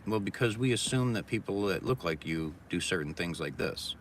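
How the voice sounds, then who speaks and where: Very deep-voiced man, rambling and sarcastic. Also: lively intonation, normal speed. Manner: rambling, sarcastic, reading chat comments aloud. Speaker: vlogger. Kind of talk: casual monologue. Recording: distant mic with loud background noise.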